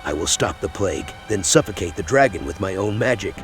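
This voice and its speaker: Man acting a low-voiced haughty elven mage. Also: lively intonation. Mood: cold, vengeful. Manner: haughty, distrustful, deliberate. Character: cold, vengeful elven supremacist mage who hates the Empire. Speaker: roleplaying player character. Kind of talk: scripted fantasy roleplay narration and dialogue. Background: background music.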